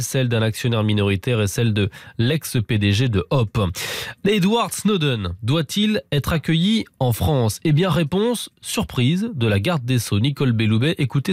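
Brisk male radio news anchor, dry sound. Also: medium pitch, darkish tone, dry recording. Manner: brisk, with frequent fillers and restarts. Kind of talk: radio newscast, morning bulletin. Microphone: studio microphone.

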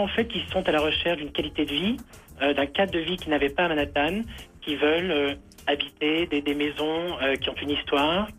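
A man's voice: a little high, a bit bright. A man answering interview questions on the radio, hesitant with many fillers and restarts. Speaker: guest, property renovator in Brooklyn.

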